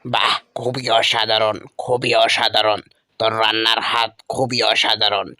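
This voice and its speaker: A man's voice: fairly low pitch, flat delivery, normal speed, pushed and bright. A man playing a commanding wandering holy man in a Bangla cartoon, with imperious pronouncements. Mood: pleased, approving.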